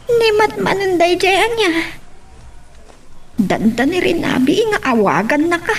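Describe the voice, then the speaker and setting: A woman's voice: very high voice, sing-song, measured pace, far from the mic, heavily acted. Casting: actress as prying young female friend, audio love drama, swooping intonation, slightly off-mic. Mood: curious, flustered. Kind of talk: Ilocano audio drama acting.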